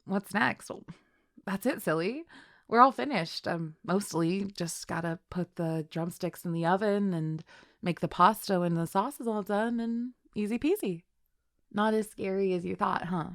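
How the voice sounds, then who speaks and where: A woman's voice: mid-range voice, a little slow, no room sound, studio quality, lightly acted. A woman playing a chill, flirty best friend with a secret crush in an intimate audio roleplay, speaking in a giggly, hesitant, affectionate way. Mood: upbeat, encouraging. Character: chill, flirty female best friend shyly confessing love. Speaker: voice actor.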